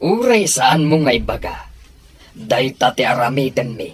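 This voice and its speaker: A man's voice: medium pitch, big pitch swings, moderate pace, slightly rough. A man acting a defensive henchman in an audio drama, protesting as he promises to get the job done. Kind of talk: Ilocano radio-style audio drama acting.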